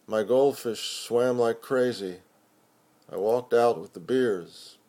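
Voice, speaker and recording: A man giving an expressive poetry recitation with measured, deliberate phrasing. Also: mid-range voice. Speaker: poetry reader.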